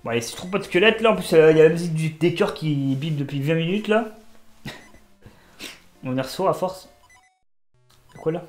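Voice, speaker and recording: A man's voice: on the high side, a little fast, pauses often, roomy sound, faint music behind. Stuffy-nosed man joking through a game. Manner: joking, talks to himself and the game characters. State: has a cold. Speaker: gaming YouTuber. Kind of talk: casual let's-play commentary.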